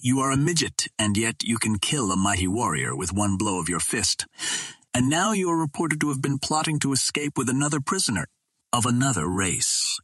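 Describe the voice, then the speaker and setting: Man playing a stern, husky Martian chieftain. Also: mid-range voice, sing-song, normal speed, fairly bright tone, no room sound. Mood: stern warning. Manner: formal, commanding, pronouncing. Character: stern, menacing male green Martian warrior chieftain.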